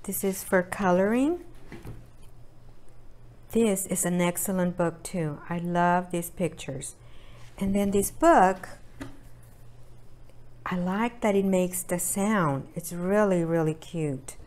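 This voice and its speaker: A middle-aged woman giving a demonstration for parents as an early childhood educator, speaking in simple, deliberate phrases with short pauses. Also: fairly low pitch, a little mellow. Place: indoors at a table by a brick fireplace.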